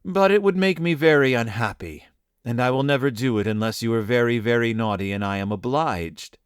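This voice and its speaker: A man voicing a loving, gentle mother in a storybook, speaking tenderly and earnestly. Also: medium pitch, a little fast, clean tone, dry recording, clean studio sound, close to an everyday voice. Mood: sad, firm. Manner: tender, earnest, softly admonishing.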